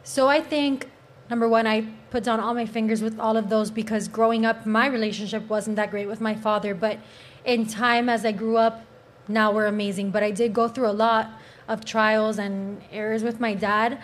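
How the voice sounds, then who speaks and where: A woman with a Cuban accent and a fairly high voice speaks as a podcast panelist. She argues earnestly and draws on her own life to make her points. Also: flat delivery, normal speed. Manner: earnest, persuasive, speaks from personal experience. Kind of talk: casual panel discussion.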